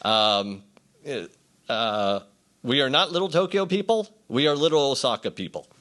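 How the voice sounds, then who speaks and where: A middle-aged or older man giving an informal acceptance speech as a writer, telling anecdotes conversationally with hesitant pauses. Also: medium pitch, sing-song, a bit bright.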